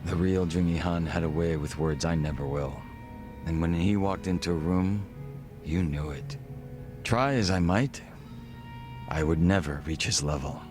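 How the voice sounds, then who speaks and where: Deep-voiced, refined gangster. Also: male voice, over music. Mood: wistful, composed. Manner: formal, polite, measured, eloquent phrasing. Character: refined, reserved Korean gangster, a former body double. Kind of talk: video game cutscene acting.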